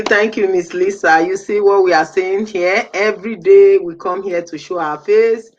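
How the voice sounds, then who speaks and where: A middle-aged woman from Nigeria hosting a live stream, animated, exclaiming and repeating her greetings. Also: lowish voice, dull audio. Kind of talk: lively live-stream talk.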